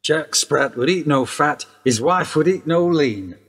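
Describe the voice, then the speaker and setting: An actor playing a gentle, sympathetic male detective sergeant in a radio play. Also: fairly high pitch, animated, unhurried, slightly rough, big-room sound, heavily acted.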